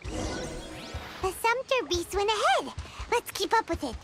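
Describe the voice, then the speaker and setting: A tiny game companion with an extremely high, squeaky voice. The delivery is chirpy and eager, swinging wildly in pitch, over prominent music. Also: female voice, very animated, slow pace, exaggerated voice. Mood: eager, excitable. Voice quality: squeaky, childlike. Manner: exclamatory, enthusiastic. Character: small childlike companion creature, eager and chirpy. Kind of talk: video game voice acting.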